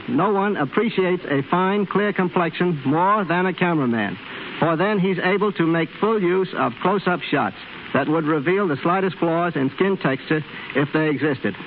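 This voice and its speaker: Through a thin, hissy 1930s radio recording comes a man with a slightly high voice. He explains things in a formal, measured way, as a guest reading scripted talk. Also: phone-quality sound. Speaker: studio cameraman interviewed as a guest.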